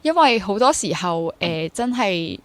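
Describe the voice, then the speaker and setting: A young woman with a Hong Kong accent shares her experiences in a conversational interview. Her voice sits at an average pitch for a woman, and she mixes English words into her sentences as she explains things. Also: big pitch swings, unhurried, dry recording. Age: 20s. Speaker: interview guest, freelance stage actor.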